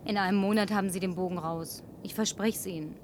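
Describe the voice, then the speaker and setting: A woman's voice: medium pitch, steady tone, quick pace, a little hiss or hum, natural voice. An actress dubbing a lonely young woman in a film who talks in a playful, coaxing way and sometimes drops to a whisper. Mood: teasing. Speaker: survivor in a film, dubbed.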